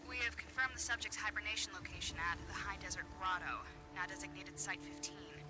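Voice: mid-range voice, fairly even intonation, a little fast, music in the background, lightly acted. A performer acting a methodical, cautious field researcher in a video game, speaking clinically and precisely.

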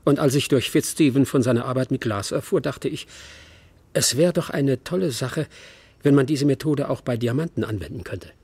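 Höflicher Geschäftsmann, belegte Stimme. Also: male voice, medium pitch, moderate pace, a little mellow, breathy edge. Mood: matter-of-fact. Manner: formal, courteous. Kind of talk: German radio play acting.

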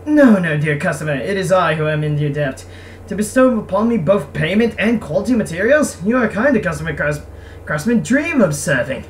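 A woman's voice: on the low side, expressive, fairly quick, natural voice. A woman voicing a proud, touchy merchant craftsman in a visual novel, with formal, emphatic delivery. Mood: polite, eager. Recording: noisy, distant microphone.

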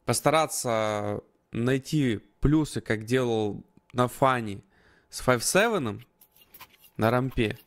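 A man's voice: mid-range voice, animated, slow pace. A man commentating and analysing a live esports match in conversational gamer slang. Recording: gunfire and game sounds behind. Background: gunfire, clicks from the game.

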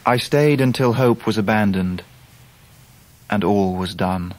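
An actor playing an earnest grown gentleman who narrates his own story in a radio play, with an English accent and measured, vivid delivery. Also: on the low side, lightly acted. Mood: grave, sorrowful. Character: grown David Copperfield, earnest grieving male narrator. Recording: noisy surf and wind behind voice.

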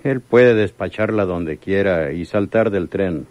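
A man's voice: deep voice, measured pace, no echo, muffled recording. An actor playing a cold, authoritative male crime boss in a radio drama. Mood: cold, suspicious.

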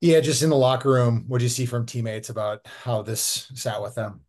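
Male sports reporter, mid pitch, rambling questions. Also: steady tone, normal speed, a little mellow. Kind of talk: press conference questions.

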